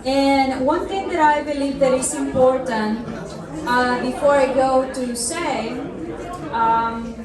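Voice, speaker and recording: Slow, high-voiced woman speaking in a hall. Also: crowd noise. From Mexico. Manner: measured, thankful, with pauses and fillers. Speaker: film producer giving a speech. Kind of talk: short speech at an event. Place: large hall at a festival. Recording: echoey hall, mic far off.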